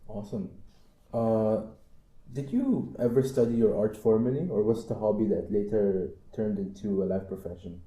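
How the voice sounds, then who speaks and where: Young student interviewer, low and dark, short scripted questions, echoey room. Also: male voice, moderate pace. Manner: brief, reads prepared questions with short reactions.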